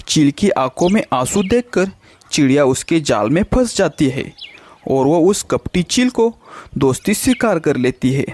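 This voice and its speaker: A man's voice: fairly high pitch, darkish tone. A man narrating a children's bird story in a scripted storytelling style.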